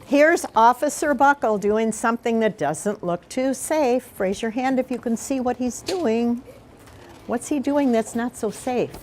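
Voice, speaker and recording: A woman's voice: fairly high pitch, a little mellow, slight background noise. Female read-aloud storyteller for elementary kids, informal and explanatory, interactive with the listeners. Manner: explanatory, pauses to question the children. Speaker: mayor reading aloud to schoolchildren.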